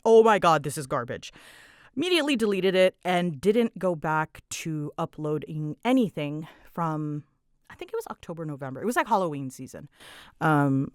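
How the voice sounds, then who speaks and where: A woman's voice: deep voice, dry recording. A woman chatting casually in a rambling, self-deprecating way as an online creator answering listener questions, close to the mic. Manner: chatty, self-deprecating, animated when quoting herself.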